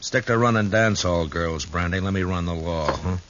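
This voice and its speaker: An actor playing a stern, weary U.S. marshal in a 1950s radio western, terse and authoritative. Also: male voice, low voice, moderate pace, natural voice. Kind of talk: 1950s radio drama acting. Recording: dull, hissy old radio recording.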